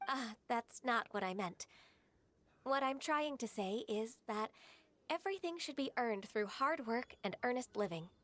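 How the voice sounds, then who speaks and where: A woman voicing a gentle, earnest half-immortal maiden in an anime video game, speaking in a soft, polite, formal and hesitant way. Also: fairly high pitch, lively intonation, a little slow. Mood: gently admonishing.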